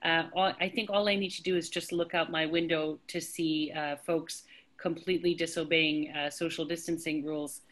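A woman speaking thoughtfully on an online panel discussion over a video call, pausing often with fillers. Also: low-pitched, fairly even intonation, fairly bright tone. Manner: thoughtful, hesitant with many fillers. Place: home indoors.

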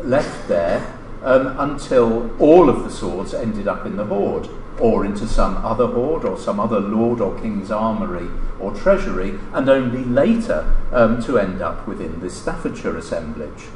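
A man reading a formal academic conference paper aloud in a measured, scholarly way, pausing mid-phrase. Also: on the low side, a little mellow. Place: indoor lecture hall, at a lectern. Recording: echoey lecture room, distant mic, background noise.

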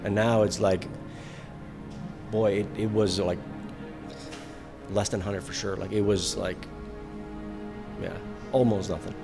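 A man speaks hesitantly in a very deep, flat voice, restarting often. Music runs clearly under his words. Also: slow pace, pauses often. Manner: hesitant, many fillers and restarts. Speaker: interviewee. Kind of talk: news interview. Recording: music bed under speech.

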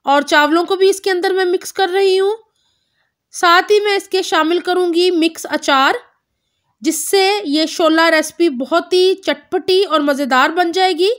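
A woman narrating a home cooking recipe step by step, calmly naming each ingredient and measure. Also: very high voice. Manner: calm instructive, naming measures. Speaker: cooking channel host.

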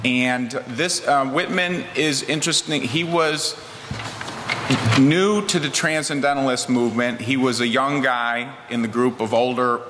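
A middle-aged American man, a minister, speaking from a pulpit with measured, deliberate delivery. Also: mid-range voice. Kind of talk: introducing and reciting poetry at a pulpit. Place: large wood-panelled church, indoors. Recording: echoey church hall, loud background noise.

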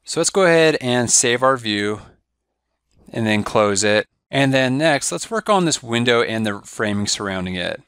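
A man giving a step-by-step software tutorial over a screen recording, walking through each click in a steady, instructive way. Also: mid-range voice, moderate pace, clean tone, no echo, clean studio sound. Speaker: software trainer.

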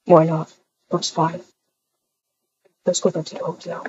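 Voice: medium pitch, monotone, measured pace, crowd noise, close to an everyday voice. A performer playing a laid-back, cocky young man in a casual roleplay, speaking in an offhand, teasing way. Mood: easygoing. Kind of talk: casual improvised roleplay acting. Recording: dull, lo-fi home microphone.

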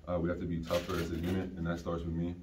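A man's voice: low-pitched, racing, a little hiss or hum. A young man, a college basketball player, answering postgame questions briefly and matter-of-factly. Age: young adult. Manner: flat, brief, matter-of-fact. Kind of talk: postgame press conference answers. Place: press conference room, seated at a table. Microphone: table microphone on a stand. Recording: dull, distant sound.

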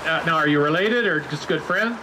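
A man's voice: a little high, fairly quick. A middle-aged man announcing a water ski show outdoors by a lake, interviewing skiers with folksy jokes over a handheld microphone. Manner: jokey, folksy banter. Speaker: event announcer. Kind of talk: live announcing and on-stage interview. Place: outdoor lakeside beach stage. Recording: PA voice with loud crowd and outdoor noise. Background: crowd and water noise.

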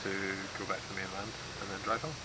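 A young man in his twenties talking outdoors by a lake, calmly and a bit hesitantly retelling a story. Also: sits low, fast. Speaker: travel companion. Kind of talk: casual explanation. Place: outdoors by a lake and car park. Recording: off-mic outdoors with loud noise.

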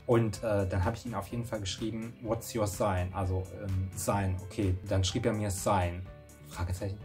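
A man's voice: deep voice, darkish tone. A young man vlogging from his bedroom, telling a story in a chatty, rambling way with many restarts. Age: young adult. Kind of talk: casual storytelling to camera. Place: small bedroom indoors.

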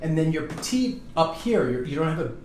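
A man's voice: on the high side, big pitch swings, fairly quick, darkish tone. A man in his fifties, a chiropractor, casually explaining hands-on treatment to a patient as he works. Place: small treatment office, indoors. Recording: echoey room, background noise.

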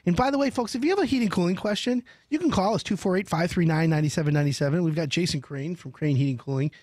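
A middle-aged man hosting a radio call-in interview in a small studio, speaking close to a large mic with animated, emphatic storytelling. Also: high voice, quick pace, dry recording. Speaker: radio show host.

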